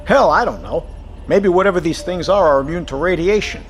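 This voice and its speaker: Sardonic, exasperated sub captain, animated. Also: male voice, high voice, fairly quick. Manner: profane, sardonic. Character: gruff, sarcastic, exasperated male submarine captain. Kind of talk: audio drama acting.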